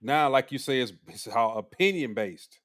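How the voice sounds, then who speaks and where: A man of about forty hosting a casual livestream chat, relaxed and slangy, with lots of "mm-hmm" and easy laughs. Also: mid-range voice, normal speed, dry recording, studio quality. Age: 40s. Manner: laid-back slang, frequent "mm-hmm" and laughs. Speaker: livestream host.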